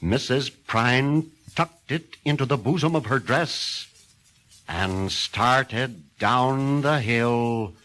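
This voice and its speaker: A man's voice: medium pitch, lively intonation, extremely slow, a bit bright. A man narrating a horror story in a theatrical, suspenseful reading style.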